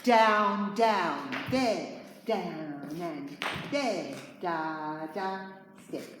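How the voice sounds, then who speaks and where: A woman's voice: fairly low pitch, extremely slow, background noise. A dance teacher from Australia leading a class of girls, counting steps aloud and calling out corrections to her pupils. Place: dance studio. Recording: echoey studio, teacher away from mic.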